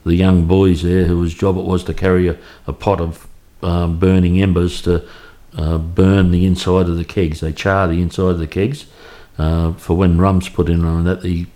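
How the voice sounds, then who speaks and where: An Australian man in his fifties reminisces about his childhood, his low voice staying flat and even. His tone is dark and mellow. He speaks haltingly, circling back and restarting his sentences. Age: 50s. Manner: halting, anecdotal, many restarts. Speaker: oral history interviewee. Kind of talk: reminiscing in an interview. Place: home in Cremorne, Sydney.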